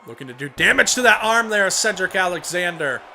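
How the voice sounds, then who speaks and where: A young man with a hoarse voice from a cold, commentating a wrestling video game play-by-play and rising to shouts on big moves. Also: sits high, expressive, a little fast. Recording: home recording with clear background noise.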